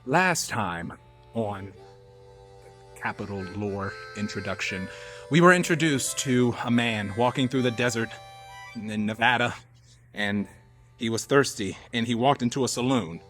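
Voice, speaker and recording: Man, medium pitch, live show host, improvised storytelling, playful, emphatic, backing music. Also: unhurried, long pauses, a bit bright. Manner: theatrical, emphatic, slangy and playful. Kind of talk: animated improvised monologue and storytelling to a live audience.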